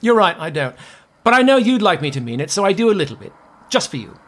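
A man's voice: high-pitched, sing-song, quick pace. An actor playing a vain, washed-up middle-aged male pop star in a comedy podcast, with a theatrical, pompous delivery. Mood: flippant. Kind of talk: audio comedy acting.

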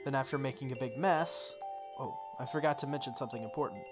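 Casting: playthrough host, mid-low voice, reads story text aloud and drops in playful informal asides, clear music bed underneath. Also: fast. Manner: playful, interjects informal comments on the script. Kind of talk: reading visual novel text aloud with casual asides. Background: game music.

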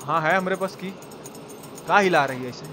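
Male live-stream gamer, Bihari-style Hindi accent, high pitch, colloquial and full of exclamations, noisy recording. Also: fairly quick. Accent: eastern Hindi belt, Bihari-style. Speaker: game streamer. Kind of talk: live gameplay commentary, casual chat.

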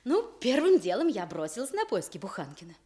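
An actress playing a gullible, theatrically lovelorn jilted woman, telling her woes with dramatic pauses in a radio comedy play. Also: medium pitch, very animated, dark tone, natural voice. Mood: agitated. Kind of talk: stage comedy acting for radio.

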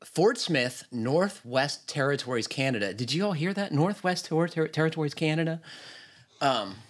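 A man's voice: on the high side, animated, moderate pace. A man hosting a podcast over a video call, reading listener emails aloud with plenty of ums and uhs. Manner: hesitant, many fillers. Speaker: podcast host. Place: home room, video call.

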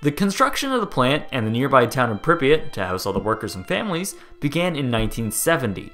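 A young American man hosting a casual documentary video, narrating over footage in a jokey, excitable way that stumbles over place names. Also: medium pitch, fast talker, faint music behind. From United States.

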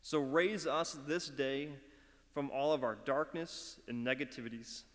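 A middle-aged man leading a school chapel talk and prayer from a lectern, speaking in a measured, earnest way with some halting fillers. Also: medium pitch. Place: dark auditorium stage at a lectern. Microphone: mic on the lectern. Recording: long hall reverb.

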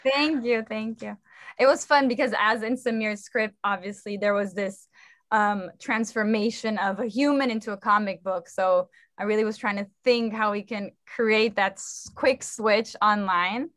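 A woman's voice: medium pitch, moderate pace, fairly bright tone, no room sound. A woman in her twenties with a mid-range voice speaks as a director in a casual discussion. She is on a video call, and the sound is close and dry. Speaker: play director. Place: indoor room on a video call. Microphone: computer video call.

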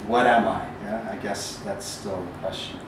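A man's voice: fairly low pitch, steady tone, normal speed. Man with an American accent in an echoey room. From Northern Ireland. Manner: rambling, frequent ums, self-deprecating humor. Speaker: poet giving a talk. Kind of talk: casual storytelling lecture. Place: small gallery room, indoors. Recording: echoey room from far off, faint noise.

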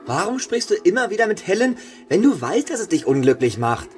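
A man's voice: high voice, very animated, brisk, recorded from a distance, faint music behind. An actor playing a sullen, defiant son in his twenties in a German radio play. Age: young adult.

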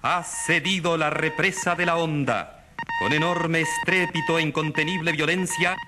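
A man's voice: a little high, moderate pace, lightly acted. An actor playing a radio newsreader, a man announcing a disaster with grave, clipped urgency in a dramatized bulletin. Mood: urgent, alarmed. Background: music.

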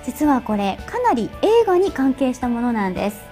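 High-pitched woman presenting the news. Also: sing-song, moderate pace, dark tone, soft background music. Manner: polite, clearly articulated. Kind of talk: scripted news presentation and narration. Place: TV studio.

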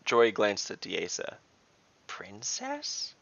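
Deep, creaky-voiced male storyteller. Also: normal speed, pushed and bright, no room sound, highs cut off. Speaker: narrator. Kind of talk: scripted fantasy story narration.

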